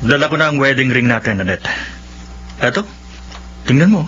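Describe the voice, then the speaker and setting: An actor playing an insistent fiancé who pushes a ring on an unwilling bride in a radio drama. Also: male voice, mid-range voice, sing-song, normal speed, loud music behind the voice, lightly acted. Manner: insistent, eager. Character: pushy young fiancé, smug and insistent. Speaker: Cesar, the unwanted fiancé. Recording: muffled, distant lo-fi audio.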